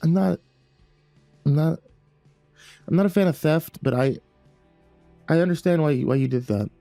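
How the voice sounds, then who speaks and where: A man's voice: moderate pace, vocal fry, dark tone, dry recording, faint music behind. A man voicing a flustered young man who stammers and yelps in alarm. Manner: stammering, high-pitched outbursts.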